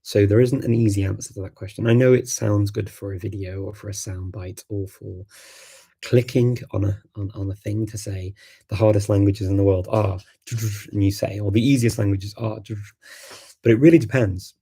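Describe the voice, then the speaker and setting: A low, gravelly male voice with a mellow, slightly husky tone. He is a livestream host whose first language is Macedonian. He chats easily, thinking aloud and restarting his sentences often. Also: steady tone, moderate pace. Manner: chatty, thinks aloud with many ums and restarts. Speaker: livestream host answering viewer questions. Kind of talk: casual live chat.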